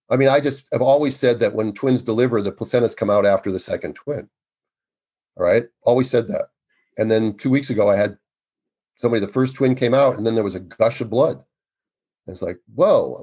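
A man in his sixties, an obstetrician speaking as a guest in an interview, with a loud, emphatic and earnest way of talking. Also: medium pitch, husky. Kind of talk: conversational interview.

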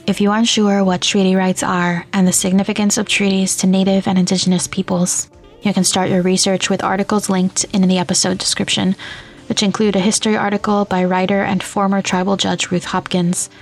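A woman reading scripted podcast announcements in a measured, clearly enunciated way. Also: mid-range voice, flat delivery, soft background music. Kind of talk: scripted narration.

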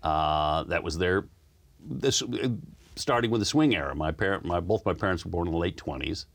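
A man with a slight Southern American accent, a guest telling easygoing, rambling stories in a relaxed interview. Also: low voice, moderate pace, vocal fry. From United States.

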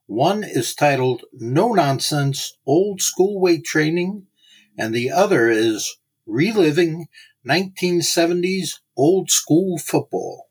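A man's voice: fairly high pitch, lively intonation, slow pace, slightly rough, low-quality recording. A man hosting a podcast, giving an introduction and promotional announcement. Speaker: podcast host.

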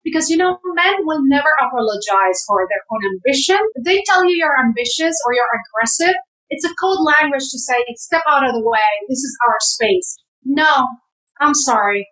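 Woman, from Jerusalem, guest, fervent and exhorting, repeats for emphasis, somewhat high voice, roomy echoey sound. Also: lively intonation, moderate pace, bright, forceful tone. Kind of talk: impassioned advice in an interview. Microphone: camera. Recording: echoey room.

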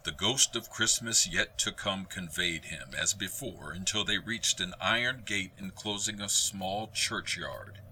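Deep, very bright male storyteller. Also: unhurried, few pauses, a little hiss or hum, rough recording. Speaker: narrator. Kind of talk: scripted dramatic story narration.

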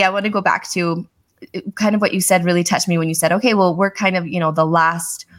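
A woman hosting a podcast, chatting casually in a bubbly way and laughing easily. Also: on the low side. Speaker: podcast host. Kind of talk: casual interview chat.

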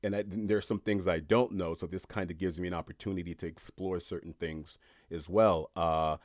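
A podcast host with a lowish male voice, recorded dry and close with no room sound. He is from Haiti and tells stories in an animated way, pushing up for emphasis and dropping low between points. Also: moderate pace, no echo. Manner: animated storyteller, rising and falling in emphasis. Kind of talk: casual podcast interview.

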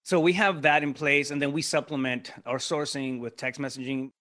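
A man's voice: medium pitch, fairly even intonation, moderate pace, pushed and bright. Podcast guest, man with a Mexican Spanish accent, a native Spanish speaker; casual and explanatory, checks in with tag questions. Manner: explanatory, lays out steps, frequent tag questions. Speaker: podcast guest, real estate investor. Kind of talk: casual interview talk.

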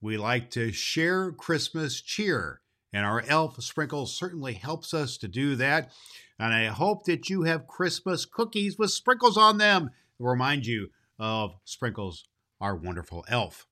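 Male performer as grandfatherly Christmas gift-bringer, jolly and hearty; forceful bright voice, swooping intonation, deliberate storyteller phrasing for kids. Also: mid-range voice, very animated. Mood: jolly, welcoming. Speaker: host of a children's Christmas story show. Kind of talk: hearty in-character greeting and storytelling for children.

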